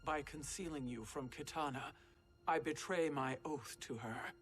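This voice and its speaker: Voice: medium pitch, measured pace, slight background noise, lightly acted. Performer as a proud, stern warrior queen. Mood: solemn, guarded. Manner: formal, imperious, guarded. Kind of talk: dramatic video game cutscene acting. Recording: loud music under dialogue.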